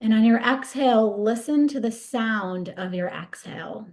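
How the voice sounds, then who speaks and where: A woman leading a guided body scan meditation in a soothing, gentle, unhurried manner. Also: a little high.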